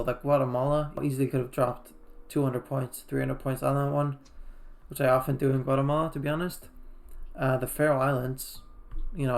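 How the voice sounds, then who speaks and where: A man's voice: medium pitch, vocal fry, a little mellow. A man from Iceland giving casual game recap commentary in a loose, conversational way. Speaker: gaming video creator. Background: faint background music.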